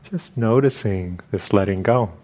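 A man leading a guided meditation as a teacher, speaking in short, halting phrases with long pauses between them. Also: on the low side, dark tone.

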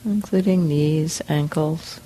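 A woman leads a guided meditation, speaking slowly in short phrases with long pauses. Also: low-pitched, mellow, slightly rough, poor audio. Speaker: meditation teacher. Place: meditation center hall.

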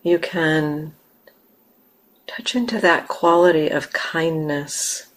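A woman meditation teacher gently guiding a meditation, unhurried, with long pauses between short phrases. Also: low voice. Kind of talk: guided meditation. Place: meditation center.

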